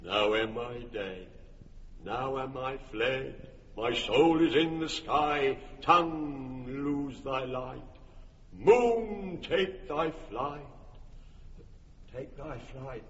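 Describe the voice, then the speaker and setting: A man's voice: mid-range voice, drawn-out words, fairly bright tone. An actor playing a workman who hams up the tragic lover Pyramus, declaiming bombastically in a classic radio drama. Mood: hammy tragic. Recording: echoey hall, dull broadcast recording.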